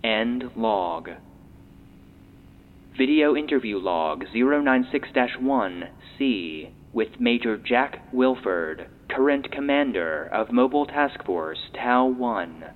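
A man playing an impassive database voice that reads classified log headings in a measured, official manner. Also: animated. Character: impassive male database voice reading classified logs. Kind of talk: scripted log announcements. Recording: tinny lo-fi playback with rumble.

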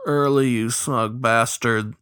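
A man voicing a gruff, fatherly riverboat captain with a folksy growl. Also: mid-range voice, fairly even intonation, takes it slowly, fairly bright tone, no echo, clean studio sound. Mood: grumpy.

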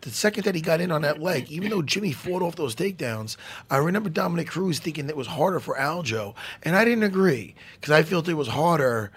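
Hoarse, rambling man chatting fights. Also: a little high, expressive, fast. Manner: loose, swearing, self-mocking, rambling. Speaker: podcast host. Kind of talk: casual chat about fights.

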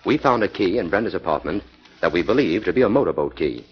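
A brisk, deep-voiced radio hero. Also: male voice, animated, slightly rough. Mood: commanding. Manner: brisk, commanding. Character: brisk, confident male crime-fighting scientist hero. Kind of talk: 1940s radio acting. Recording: lo-fi 1940s radio recording.

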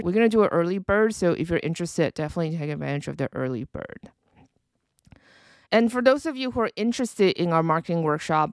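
A woman in her forties, originally from Taiwan, hosting a solo podcast with an upbeat, chatty delivery. Her voice is smooth and a little low, recorded close and dry in a small quiet room. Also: no echo. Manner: upbeat, conversational, promotional. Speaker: podcast host.

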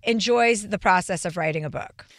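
A woman's voice: medium pitch, moderate pace, no room sound, clean studio sound. A woman in her forties hosting a talk show, giving brash, emphatic advice and swearing freely in casual chat.

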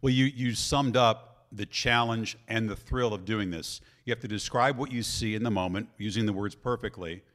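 Low-voiced male sports announcer interviewing. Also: a bit bright. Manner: measured, thoughtful framing of questions. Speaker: interview host, American football announcer. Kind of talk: interview conversation.

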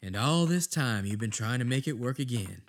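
An actor playing a brusque, skeptical senator in an audio drama, blunt and questioning, with a sardonic edge. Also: male voice, mid-range voice, animated, normal speed, lightly acted. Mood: curious, puzzled. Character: skeptical, brusque male American senator on inspection.

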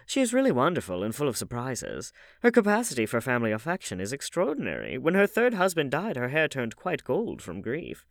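Male reader as cynical society gentleman, classic novel; urbane and witty, varied intonation, dry sound. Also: mid-range voice, wildly swooping pitch, a little fast, hardly any pauses, no room sound, voice pushed up. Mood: wry. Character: witty, languid male aristocrat, cynical dinner guest.

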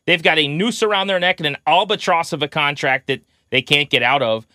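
Bright, forceful male sports podcast host. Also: fairly high pitch, fairly quick, no echo, studio quality. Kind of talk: conversational sports commentary.

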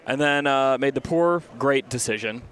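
A man co-hosting a sports media show outdoors, chatty and storytelling, his voice slightly hoarse. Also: medium pitch, moderate pace, a bit bright. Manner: chatty, storytelling, restarts often. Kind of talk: casual radio-style chat. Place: outdoors at a city event.

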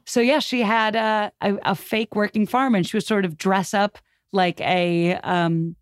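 A woman's voice: mid-range voice, steady tone, unhurried, clear, resonant tone, no echo, clean studio sound. A woman podcast guest telling history in an informal, conversational, explanatory way with plenty of filler words. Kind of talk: conversational storytelling about history.

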